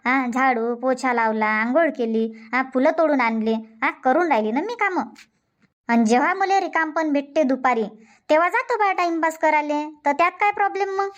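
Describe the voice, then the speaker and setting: High-voiced bickering village wife played by a woman. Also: moderate pace, highs cut off, natural voice. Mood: defensive, nagging. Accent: rural Vidarbha Marathi. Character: bickering but loving Indian village wife and mother-in-law. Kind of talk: cartoon comedy dub dialogue.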